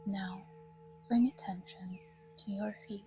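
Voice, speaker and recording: A woman leading a guided meditation in a soothing, breathy voice that often drops toward a whisper. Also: medium pitch, fairly even intonation, takes it slowly, darkish tone, soft background music.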